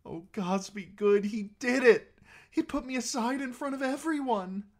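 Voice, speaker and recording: A man voicing a hopeful, giddy young highborn girl with girlish phrasing in an audiobook story. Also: measured pace. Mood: joyful.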